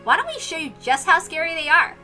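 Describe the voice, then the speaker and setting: A woman's voice: extremely high pitch, big pitch swings, fast, sharp tone, soft background music, full character voice. A woman voicing a teasing, playfully menacing young woman who sets puzzles in a visual novel read-aloud.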